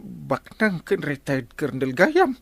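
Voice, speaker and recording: A man's voice: a little high, wildly swooping pitch, slow, vocal fry, fairly bright tone, breathy edge, no echo, full character voice. An actor with an Ilocano accent playing a boastful, flustered male village captain in a comedy radio drama, stammering and blustering.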